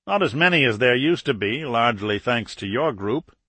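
A man voicing a friendly, courteous Australian army colonel in an audiobook, with a brisk military manner. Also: medium pitch, animated, pushed and bright, husky, dry recording. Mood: friendly, assured. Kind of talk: audiobook character dialogue.

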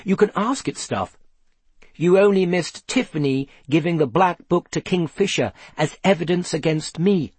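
A man voicing an earnest, excitable boy who plays amateur sleuth in a children's story, anxious and blustering. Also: fairly high pitch, normal speed, husky, no room sound, pitched above the performer's own voice.

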